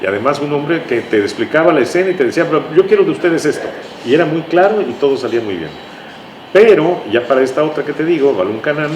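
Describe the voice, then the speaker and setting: A man with a Mexican accent speaking as an interview guest, telling anecdotes conversationally and often ending with tag questions. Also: mid-range voice. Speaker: interview guest, writer recalling his radio work. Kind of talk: conversational reminiscing. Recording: echoey room, noisy ambient hum.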